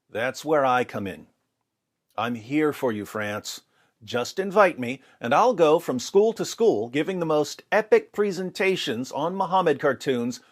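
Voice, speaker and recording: A man delivering scripted commentary as a host, in an emphatic, deliberate, rhetorical manner. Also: fairly high pitch.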